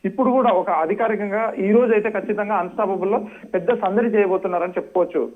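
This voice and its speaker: Fast high-pitched male reporter on tinny phone line. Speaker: news reporter. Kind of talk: unscripted live news report. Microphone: phone call.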